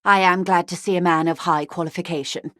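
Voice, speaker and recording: Woman acting a rich corporate negotiator, video game dialogue, lowish pitch, refined diction, dry irony, close dry sound. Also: steady tone, a bit bright, no room sound, lightly acted. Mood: courteous, concerned. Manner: urbane, measured, dryly ironic. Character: wealthy, poised corporate negotiator woman, wryly courteous. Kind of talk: scripted game dialogue, conversational.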